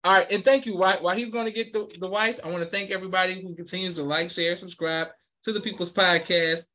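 A man's voice: high-pitched, fairly even intonation, fast talker, sharp tone, dull audio. A man hosting a podcast over a video call, upbeat and polite, often saying yes sir. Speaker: podcast host. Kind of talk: casual interview. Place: home room with poster wall.

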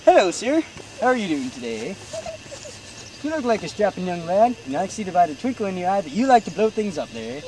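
A woman's voice: medium pitch, very animated, fairly quick, close to an everyday voice, low-quality recording. A woman voicing a chatty, hot-tempered female bomb shopkeeper, who tags "there, eh" onto her lines. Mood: salesy. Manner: scolding, threatening. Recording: loud background noise.

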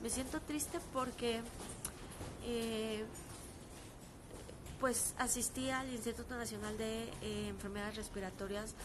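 A woman in her thirties with a Mexican accent talks casually and earnestly into her phone on an outdoor terrace. She is hoarse from a sore throat and dry cough, and she pauses often with fillers. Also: a little high, fairly even intonation, noisy background. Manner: earnest, halting, with frequent fillers. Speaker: actress streaming live. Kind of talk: casual live-stream talk. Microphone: phone at arm's length.

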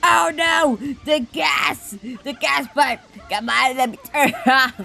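A woman's voice: high voice, takes it slowly, vocal fry, bright, forceful tone, slight background noise, full character voice. A woman voicing a worried cartoon animal parent in an amateur fandub. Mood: panicked. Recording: music under the voice.

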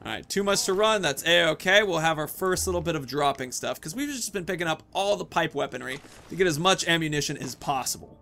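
A man's voice: fairly high pitch, animated, a little fast, hardly any pauses, sharp tone. A man in his thirties giving casual, chatty let's-play commentary, thinking aloud as he plays. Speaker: gaming video host. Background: video game sounds.

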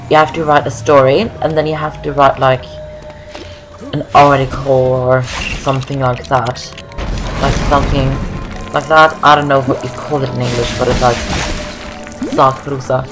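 A young woman talks through a video game. She is chatty and rambling, often repeating herself, with music playing clearly behind her. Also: extremely low pitch, flat delivery, normal speed. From Norway. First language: Norwegian. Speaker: gaming video host. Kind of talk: casual game walkthrough commentary.

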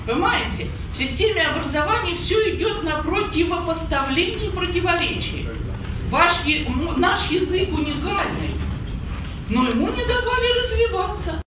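A woman in her fifties speaking up at a public meeting, emphatic and lively, repeating points and asking her listeners if they understand. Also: very high voice, normal speed. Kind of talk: impassioned public remarks. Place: meeting hall with an audience. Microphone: far camera, no microphone. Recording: echoey hall from far off with noise.

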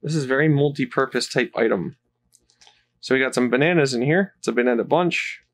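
A man's voice: medium pitch, fairly quick. A man giving casual, rambling commentary while unboxing a toy playset, off camera. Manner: relaxed, rambling, with fillers.

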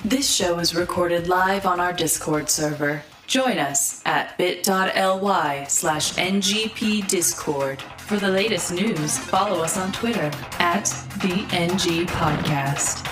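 A woman reading the scripted closing announcement of a podcast in an even manner. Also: fairly low pitch, slow, few pauses. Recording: distant voice over music.

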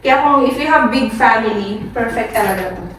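A woman's voice: on the high side, fairly quick, fairly bright tone, distant mic. A young Filipino woman working as a real estate agent, giving an upbeat, salesy house tour that mixes English and Tagalog. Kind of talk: property tour vlog. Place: inside a show house and outside on a street. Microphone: handheld camera held by the speaker. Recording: echoey rooms, off-mic, street noise.

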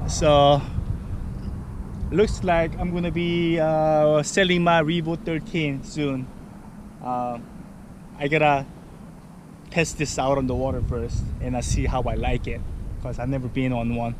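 A man's voice: on the high side, steady tone, measured pace, long pauses. Upbeat man vlogging over road noise. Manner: upbeat, eager, loose with fillers. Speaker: fishing vlogger. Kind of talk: casual vlog talk to camera. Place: in a pickup truck, then an outdoor parking lot. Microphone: action camera on dash, then handheld at arm's length.

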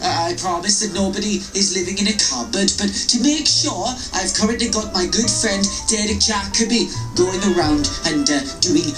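A man's voice: sits high, moderate pace, few pauses, reverberant, lightly acted. Smug TV boss played by a man in a clumsy Welsh accent, in a home office with music. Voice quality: put-on Welsh accent, lively. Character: man doing a pompous Welsh showrunner on a video message. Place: small home office, indoors.

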